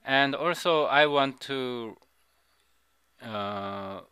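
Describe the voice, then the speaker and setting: A man's voice: mid-range voice, very slow, bright, forceful tone, clean tone, no room sound. A middle-aged man, a native Korean speaker, gives a coding tutorial over a screen recording, often repeating and restarting his words. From South Korea. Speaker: programming tutor.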